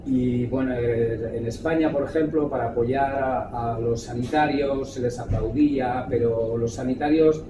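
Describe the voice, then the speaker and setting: A man's voice: medium pitch, steady tone, unhurried, hardly any pauses. A man from Spain interviewing a restaurant manager in a conversational tone. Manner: conversational, asking questions. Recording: distant, echoey, noisy.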